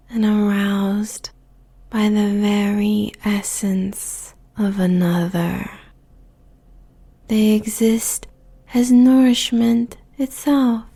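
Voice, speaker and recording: A woman's voice: medium pitch, gravelly, warm tone, breathy edge, heavily acted. A woman playing a seductive, possessive demon succubus queen in a roleplay audio, whispering breathily and savouring her words with teasing sensuality. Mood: hungry, sensual.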